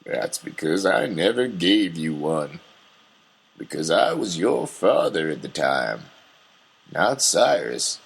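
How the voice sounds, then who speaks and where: A man plays a bitter older father in a comic audio drama. He has a low, raspy voice and scolds slowly, with accusing swings in tone. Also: sing-song, heavily acted. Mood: indignant. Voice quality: gravelly, husky. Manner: grumbling, accusatory.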